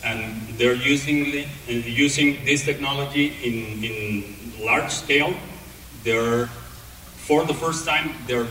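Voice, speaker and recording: A man with a non-native English accent giving a talk to an audience, speaking haltingly with many pauses and restarts. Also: medium pitch, pushed and bright, a little hiss or hum. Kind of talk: presentation to an audience. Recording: echoey hall, voice from far off.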